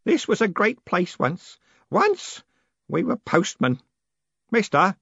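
A humble old postal clerk, close to tears, pleading slowly in a raised, wavering voice, played by a man. The sound is dry and slightly dull. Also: sits high, wildly swooping pitch, creaky, fairly bright tone, slightly rough, no room sound, voice pushed up, full character voice. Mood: pleading tearful. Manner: pleading, tearful. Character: tearful older male postal clerk, humble.

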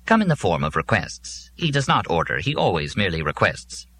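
A man's voice: low voice, big pitch swings, a little fast, pushed and bright, slight background noise, exaggerated voice. A man voicing a competent, firmly polite female secretary in a science fiction audiobook, precise and formal.

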